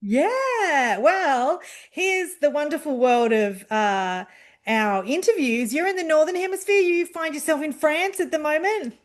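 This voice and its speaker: A woman's voice: sits high, lively intonation, unhurried. A woman hosting a book interview in a casual, conversational way. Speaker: book show host.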